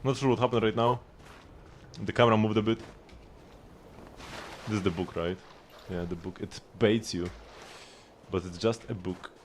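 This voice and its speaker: A man casually commentating on a game livestream, thinking aloud haltingly and repeating words as he goes. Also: on the low side, fairly even intonation, slight background noise. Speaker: live streamer.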